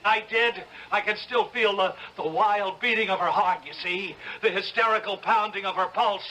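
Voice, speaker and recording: An actor playing a defiant, manic young hoodlum ranting on trial in an old-time radio drama. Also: male voice, high voice, normal speed, pushed and bright, rough recording. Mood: feverish, insistent. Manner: agitated, ranting, taunting.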